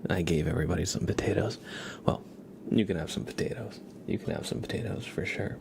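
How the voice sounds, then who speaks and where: A man hosting an ASMR livestream, chatting casually and softly in a gentle, rambling way, often slipping into a whisper. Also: sits low, brisk, creaky, noisy background. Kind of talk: soft-spoken ASMR with casual livestream chat.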